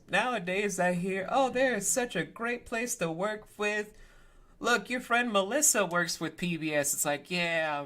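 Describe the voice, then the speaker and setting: A man's voice: fairly high pitch, bright, forceful tone. A man in his late twenties hosting a casual interview, blunt and sarcastic, who swears freely. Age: late 20s. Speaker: podcast host interviewing a voice actor.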